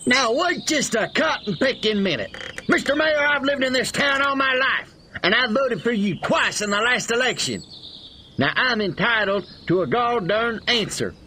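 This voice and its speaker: An actor playing a cranky, indignant old farmer in a comic video game, with a rural American accent. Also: male voice, extremely high pitch, lively intonation, normal speed, bright, forceful tone, a little hiss or hum, exaggerated voice. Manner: indignant, folksy, blustering.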